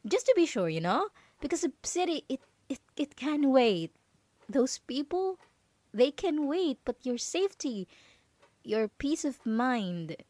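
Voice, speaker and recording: Woman acting a high-pitched possessive yandere roommate. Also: a little mellow, dry recording, heavily acted. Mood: coaxing, possessive. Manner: nervous stammering, pleading, coaxing. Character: possessive, overprotective yandere roommate, clingy and manipulative. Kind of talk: ASMR roleplay acting.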